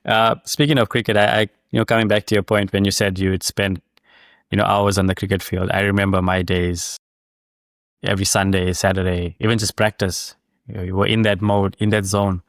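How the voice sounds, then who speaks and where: A man hosts a casual podcast chat, backing his guest with quick affirmations before sharing his own memories. His voice sits on the low side, recorded dry and close with little room sound. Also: level tone, no room sound. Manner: encouraging, short affirmations. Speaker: podcast host. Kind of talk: casual interview talk.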